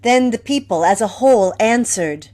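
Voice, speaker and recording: A woman narrating a Bible passage in a church dramatic reading, in an even, deliberate way. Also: a little high. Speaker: scripture reader.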